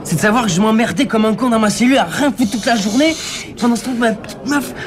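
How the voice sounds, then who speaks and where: An actor playing a bitter, jealous ex-convict boyfriend in a radio play, coarse, slangy and self-pitying. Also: male voice, sits high, fast talker, lightly acted. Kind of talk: naturalistic French radio drama acting. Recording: clear crowd chatter behind.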